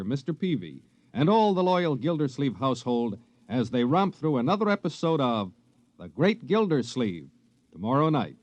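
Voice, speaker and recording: A man's voice: mid-range voice, darkish tone, dry recording, lo-fi sound. An American man reading a scripted promo as a 1950s radio network announcer.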